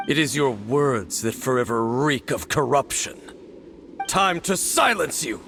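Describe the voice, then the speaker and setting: An actor playing a stern, brooding, mysterious warrior in a video game, speaking in a grave, measured, solemn way. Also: male voice, mid-range voice, expressive, creaky. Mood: cold, defiant. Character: brooding, stern mysterious male warrior, former royal guard. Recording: music behind the voice.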